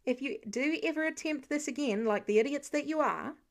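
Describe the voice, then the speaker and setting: A woman's voice: sits high, brisk. Protective older-brother-to-dad type man voiced by a woman, stern, dry, sardonic, storybook dialogue. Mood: wry, teasing. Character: stern, protective middle-aged man, dryly scolding.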